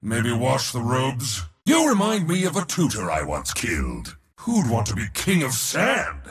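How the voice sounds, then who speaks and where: A man's voice: medium pitch, huge pitch swings, unhurried, long reverb tail. A man voicing an arrogant, mocking war god in a video game, pompous and theatrical with a sardonic edge. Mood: sardonic, haughty. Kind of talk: video game character voice lines.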